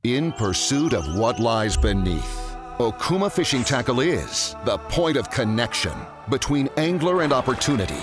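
A man narrates a sweeping tackle ad with a dramatic build. His voice is mid-pitched and moves widely. Music plays underneath. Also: big pitch swings, moderate pace. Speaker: ad voice-over.

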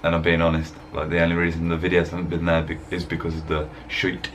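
A young man with a very deep voice chats in a loose, rambling way, full of fillers. He talks into a desk webcam, and a faint background hiss sits under his voice. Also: completely flat delivery, quick pace. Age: young adult. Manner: rambling, laid-back, chatty with fillers. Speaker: fitness vlogger. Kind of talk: casual voice-over vlog. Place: indoor room, voice-over over gym footage.

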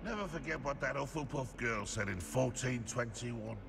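Video game gargoyle, male actor: gravelly, mid-pitched, sarcastic stone grump, endlessly disdainful, with music underneath. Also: normal speed, close to an everyday voice. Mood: scornful. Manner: sardonic, disdainful, complaining. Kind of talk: video game character voice acting.

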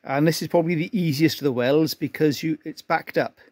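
A man doing an unhurried, self-deprecating welding demonstration as an amateur car restorer in a cluttered garage workshop, explaining each step. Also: a little high, a little mellow, no room sound.

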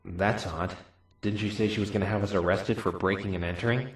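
A man's voice: fairly low pitch, steady tone, fairly quick. A man voicing a wry, suspicious young lawyer's dry inner monologue in a visual novel dub, with an echo effect. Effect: echo for thoughts. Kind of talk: visual novel fandub acting. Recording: echoing inner-thought reverb.

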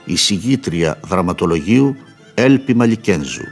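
A man reading scripted radio drama credits in a formal, measured announcer's manner. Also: deep voice, animated. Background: prominent violin music.

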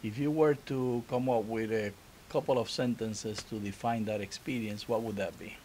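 Middle-aged male interviewer, faint hiss behind. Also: fairly low pitch. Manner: courteous, measured questioning. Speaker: interviewer, host. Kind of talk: conversational interview for students. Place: small library room with armchairs. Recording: steady hiss and room noise.

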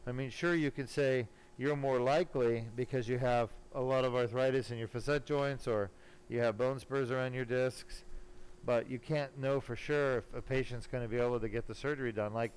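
A man working as a spine surgeon, giving casual, self-assured live commentary while he operates, pausing now and then mid-task. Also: medium pitch, steady tone. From born in Germany, later lived in California. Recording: clearly noisy operating room sound. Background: room noise and ambience.

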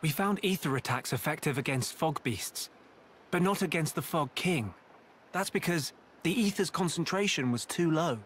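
A man's voice: fairly high pitch, lively intonation, normal speed, a bit bright, a little hiss or hum. An actor playing an earnest, thoughtful young male hero in a video game.

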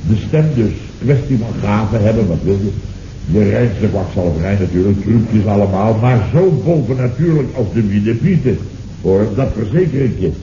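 An actor playing a blustering, excitable middle-aged boss who repeats himself with hearty exclamations in a 1970s Dutch radio comedy. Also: male voice, on the low side, normal speed. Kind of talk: Dutch radio comedy acting, circa 1970. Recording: echoey, muffled, noisy old radio recording.

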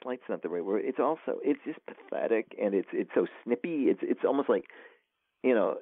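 A male author as interview guest, talking in a rambling, animated way with many restarts over a phone line. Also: lowish voice, a little fast, no room sound. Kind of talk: casual interview conversation. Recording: tinny phone line, dry.

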